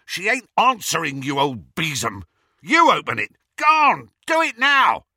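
A man voicing a brutish, hot-tempered, cowardly villain wizard who bellows in rough, ungrammatical speech, in an audiobook. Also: mid-range voice, sing-song, a little slow, bright, forceful tone, no room sound, studio quality, pitched above the performer's own voice, full character voice. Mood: furious, demanding. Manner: bellowing, uneducated non-standard grammar.

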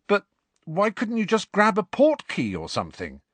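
An incredulous, blunt teenage boy wizard, as a man puts him on, pitched well above the reader's own husky voice and full of disbelief. Close, dry sound. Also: wildly swooping pitch, moderate pace, fairly bright tone, dry recording, heavily acted. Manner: incredulous, exclaiming.

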